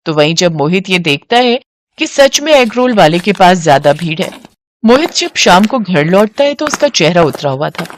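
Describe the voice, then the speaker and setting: A woman's voice: fairly low pitch, big pitch swings, normal speed, no echo. A woman reading scripted narration for a moral story.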